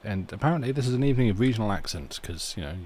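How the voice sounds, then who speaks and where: A man's voice: lowish voice, expressive, racing, a little mellow. A man hosting a live stream, chatting casually to his viewers.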